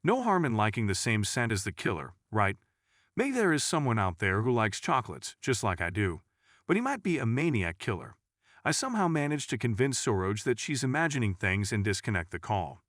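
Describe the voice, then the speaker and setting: A man's voice: mid-range voice, big pitch swings, brisk, fairly bright tone, no echo, clean studio sound. A man narrating a scripted first-person crime story in a dramatic storytelling manner. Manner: dramatic storytelling, acts out dialogue.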